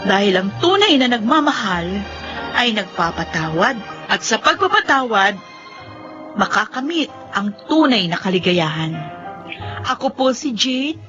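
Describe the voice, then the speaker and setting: A young Filipino woman with a high voice, speaking earnestly as a wounded daughter in an emotional radio-style drama. The sound is slightly dull, with soft music underneath. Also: very animated, unhurried, pauses often. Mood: reflective, earnest. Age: young adult. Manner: heartfelt, earnest.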